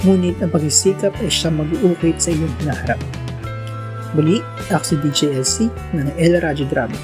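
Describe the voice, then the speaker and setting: A man's voice: on the high side, a little fast. Earnest radio drama narrator, a man. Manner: formal, earnest storytelling. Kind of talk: scripted narration. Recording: music playing behind.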